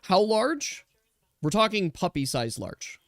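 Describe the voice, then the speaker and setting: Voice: mid-range voice, sing-song, slow pace, dry recording. A game master with a mid-range voice and a dry, close recording. The delivery is casual and conversational, narrating a tabletop role-playing scene to the players.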